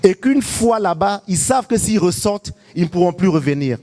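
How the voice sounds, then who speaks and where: A man speaking as an activist on a panel, making emphatic, reflective points and slowing on key phrases. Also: sits high, animated, a little mellow, faint music behind. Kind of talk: public debate remarks.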